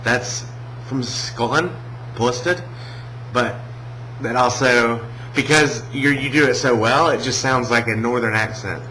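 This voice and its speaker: A man from Alabama with a Southern American accent, talking casually in a video response, hesitant with many pauses and restarts. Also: mid-range voice, bright, forceful tone, slight background noise, rough recording. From Alabama, USA. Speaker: vlogger.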